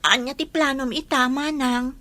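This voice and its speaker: A woman's voice: sits high, steady tone, moderate pace, bright, forceful tone. A woman playing a frank, concerned neighbor in a radio drama, earnest and direct, with an Ilocano accent. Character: Nancy, frank, concerned female neighbor bearing bad news.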